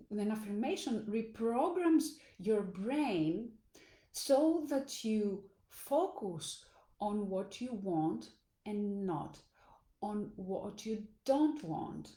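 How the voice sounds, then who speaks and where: A Greek woman coaching in her second language talks earnestly and slowly to her audience. Her voice is mellow and relaxed, sitting at an ordinary pitch, with the odd small restart. The room around her is echoey. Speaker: life coach. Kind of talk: motivational talk to camera. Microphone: phone or camera in a live stream.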